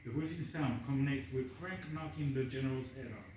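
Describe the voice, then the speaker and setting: A man's voice: medium pitch, brisk, a little mellow, distant mic. A man reading screenplay stage directions aloud as the narrator at a table read. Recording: echoey room, off-mic, faint noise.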